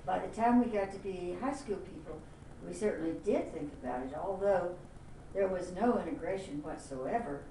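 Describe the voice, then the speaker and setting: Older lady from florida remembering growing up, warm mellow voice, roomy echo, mic a bit far off. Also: fairly low pitch, normal speed. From Miami, Florida. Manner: plain-spoken, reflective. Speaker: interviewee. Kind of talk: oral history interview. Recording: echoey room, mic at a distance, faint hiss.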